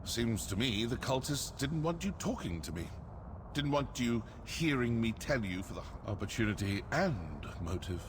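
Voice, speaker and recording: An actor playing a wry, suspicious male assassin in a fantasy video game, with a measured, sardonic delivery. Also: mid-range voice, big pitch swings, background noise, lightly acted. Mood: defiant. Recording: cavernous reverb, faint game ambience.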